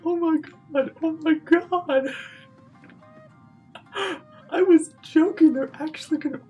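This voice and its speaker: A woman doing casual live commentary as she plays a video game, joking and excitable. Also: high voice, dull audio. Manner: excitable, joking, drawn-out hesitant musing. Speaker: streamer reacting to a video game. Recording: game music faintly behind.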